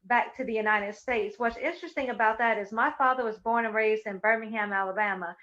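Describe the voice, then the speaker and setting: A middle-aged woman moderating and hosting a webinar panel, speaking in a measured way with frequent fillers. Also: a little high, fairly bright tone. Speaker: moderator and host. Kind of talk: webinar moderation and personal remarks. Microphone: video call. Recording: muffled lo-fi webinar audio.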